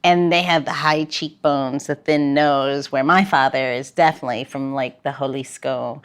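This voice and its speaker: Woman, low pitch, casual chat, podcast co-host. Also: steady tone, moderate pace, clean tone.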